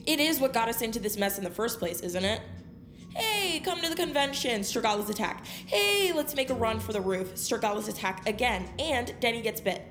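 A woman acts out a confrontational teenage girl with a fairly high voice and a biting, sarcastic delivery. It is an audio drama scene with roomy echo and music underneath. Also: animated, a bit bright. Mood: mocking sarcasm. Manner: mocking, biting, drawn-out sarcasm. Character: sarcastic, confrontational teenage girl, sharp-tongued bully among friends.

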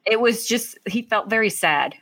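A woman's voice: on the high side, expressive, normal speed, fairly bright tone, no echo. A woman hosting an interview in a casual, conversational style. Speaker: interview host.

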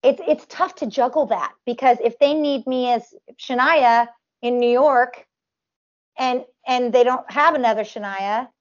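A woman's voice: a little high, a little slow. A woman with a nasal, slightly raised voice talks in long, looping runs. She stops and restarts her sentences often. The sound is a little dull and muffled. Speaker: tribute performer guest. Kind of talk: casual interview chat.

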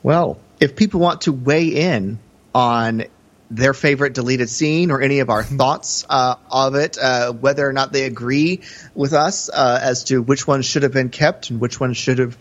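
A man's voice: medium pitch, moderate pace, highs cut off. A man co-hosting a podcast, talking through film in a casual, conversational way with hesitant, hedged phrasing. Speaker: podcast co-host.

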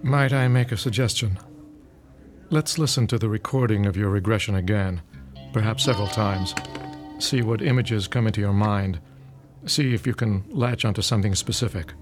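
An actor playing a courteous, academic professor who explains things in a measured way in an audio drama. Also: male voice, lowish voice, faint music behind, close to an everyday voice. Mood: encouraging, curious.

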